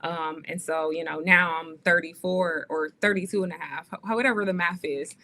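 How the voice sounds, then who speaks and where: A woman's voice: lowish voice, fairly even intonation, normal speed, sharp tone. A woman in her thirties, a podcast guest, talking earnestly and casually with many fillers. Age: 30s. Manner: earnest, faith-driven, many "um"s and "like"s. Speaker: guest, actress and author.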